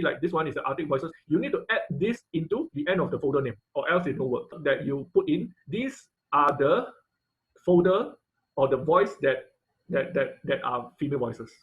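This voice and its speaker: Male online instructor, slightly high-pitched, informal and halting with repeated words, on a dull video call. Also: lively intonation. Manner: halting, repeats words and rephrases mid-sentence. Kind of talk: informal live online technical lesson with question and answer. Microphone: video call microphone.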